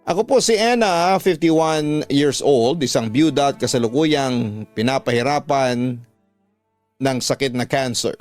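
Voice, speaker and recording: A man's voice: medium pitch, measured pace, faint music behind, lightly acted. Filipino man reading as a sentimental middle-aged widow. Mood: sorrowful, weary. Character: sorrowful fifty-one-year-old widow recounting her hard life. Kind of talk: dramatic letter reading for radio.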